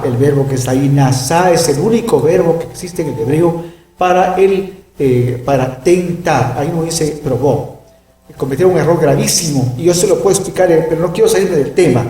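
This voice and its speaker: A middle-aged man with a mellow, slightly higher voice teaches a Bible lesson from some distance off the mic. He speaks emphatically and keeps checking in with his listeners. The room sounds echoey. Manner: emphatic, peppers speech with "¿verdad?". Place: room with closed blinds.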